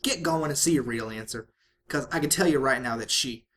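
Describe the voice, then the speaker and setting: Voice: mid-range voice, brisk, fairly bright tone, slight background noise, natural voice. Someone voicing a blunt, folksy farm stallion big brother. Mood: exasperated.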